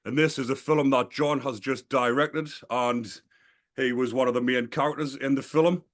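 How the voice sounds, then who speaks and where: A man's voice: mid-range voice, fairly even intonation, moderate pace. A man hosting a podcast, opening the show and asking questions in a friendly, upbeat way. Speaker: podcast host. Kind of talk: interview hosting.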